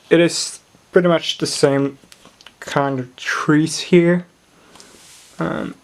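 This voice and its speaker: A native Swedish speaker with a Swedish accent, casually showing off a model build and often pausing to search for English words. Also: mid-range voice. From Sweden. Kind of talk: casual show-and-tell narration.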